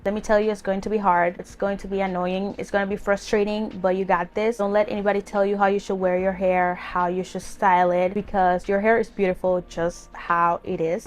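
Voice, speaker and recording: A woman in her twenties from the Republic, talking casually to camera as a vlogger in a chatty, earnest way. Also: mid-range voice. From Dominican Republic. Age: 20s. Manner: chatty and earnest, leaning on "like" as filler. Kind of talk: casual vlog talk to camera.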